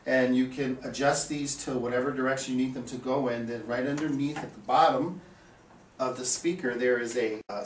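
Methodical man in an echoey room. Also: medium pitch. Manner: plain, methodical step-by-step explaining. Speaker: instructor for an equipment rental company. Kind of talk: hands-on equipment tutorial. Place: small indoor meeting room. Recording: roomy echo, distant mic, background hiss.

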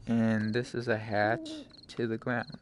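A man giving casual live gameplay commentary as a streamer, halting and talking in short phrases, often mumbling to himself. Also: fairly low pitch, flat delivery. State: frequent coughing. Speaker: game streamer. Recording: noisy home stream microphone.

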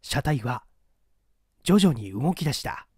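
A man reading a mystery story aloud in an expressive, scripted narrator's delivery. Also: medium pitch, slow, breathy edge, no echo, clean studio sound. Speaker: audiobook reader, small-theatre actor.

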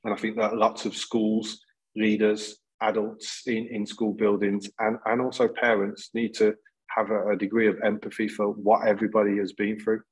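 Man, 30s, panel guest on a video call, steady flat delivery. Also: fairly low pitch, normal speed, breathy edge, poor audio. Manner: earnest, many restarts and repeated words. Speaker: panel guest, school leader. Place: plain indoor room.

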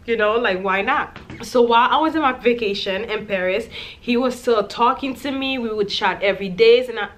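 A woman's voice: fairly high pitch, normal speed. Young woman vlogger, casual storytime to camera in a small room, candid and chatty. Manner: chatty, candid, lots of "like" and "you know".

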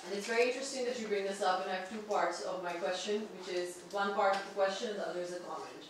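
A woman's voice: mid-range voice, quick pace. Echoey, distant woman at a seminar. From Pakistan. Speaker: audience member, barrister and entrepreneur. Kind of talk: seminar question and comment. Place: large indoor meeting room. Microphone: far room camera. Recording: distant mic, very echoey room, faint noise.

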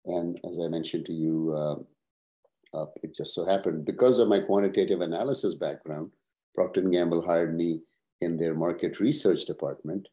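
A man in his sixties, originally from Pakistan, answering interview questions over a video call at a measured pace, with many "uh" pauses. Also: low voice, fairly even intonation, dark tone. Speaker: guest, professor and retired executive.

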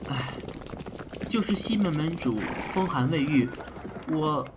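A man's voice: fairly high pitch, animated, slow pace, noisy background. A man playing an earnest, polite army physician in an ancient Chinese audio drama, with a hesitant, courteous way of talking. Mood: sheepish, hesitant.

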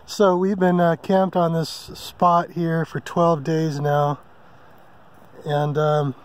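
A man hosting a casual camping vlog from a mountain meadow, talking in a relaxed, rambling way with many ums and uhs. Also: on the high side, takes it slowly. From Hawaii. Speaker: vlog host. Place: open mountain meadow campsite outdoors.

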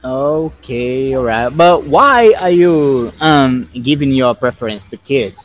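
A man's voice: mid-range voice, lively intonation, slow, no room sound. A young man chatting playfully as an English student on a headset over a video call, laughing a lot. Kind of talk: casual chat on a video call.

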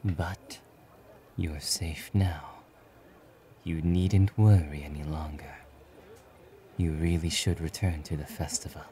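A man voicing a stoic, quietly kind young demon-slaying hero in a soft, breathy romantic ASMR roleplay. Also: a bass voice, steady tone, takes it slowly, long pauses, slight background noise. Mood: quietly reassuring. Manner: aloof, terse, gently reassuring.

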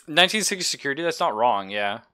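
A man's voice: medium pitch, sing-song, quick pace, bright, forceful tone. A man narrating a tabletop roleplaying game as game master in a casual, informal, sweary way. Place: online game session, streamed.

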